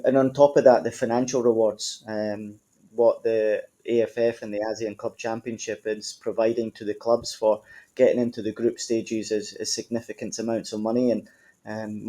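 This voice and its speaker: A man in his thirties with an Edinburgh Scottish accent, a football club manager answering podcast interview questions in a relaxed, conversational way with frequent ums. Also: on the low side, fairly quick. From Scotland.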